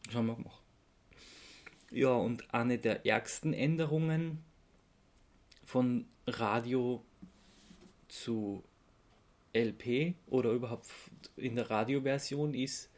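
A man's voice: medium pitch, slow pace, long pauses, a little mellow. A man with an Austrian accent hosting a casual podcast, explaining his research in a hesitant, amused way as he searches for words. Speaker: podcast host presenting research.

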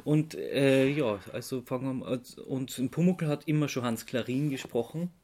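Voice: medium pitch, normal speed, warm tone. A podcast co-host, explaining things informally in casual conversation.

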